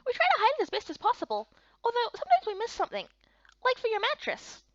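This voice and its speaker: A woman's voice: very high voice, wildly swooping pitch, fast talker, no echo, muffled recording, pitched above the performer's own voice, full character voice. A woman voicing a bubbly, chatty, kindly female fairy in a visual novel game. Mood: chatty, friendly. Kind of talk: voiced playthrough of fantasy visual novel.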